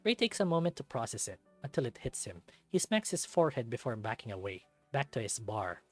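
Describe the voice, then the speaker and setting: Animated man reading a story aloud. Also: medium pitch, brisk, soft background music. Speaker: visual novel narrator and reader. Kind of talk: dramatic first-person story reading with character voices.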